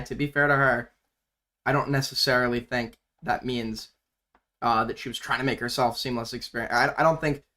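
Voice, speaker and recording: A man's voice: mid-range voice, bright, forceful tone. A man hosting a livestream, bluntly arguing with a caller and commenting on a video with sarcastic remarks. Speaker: livestream host. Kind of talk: casual livestream commentary and call-in debate.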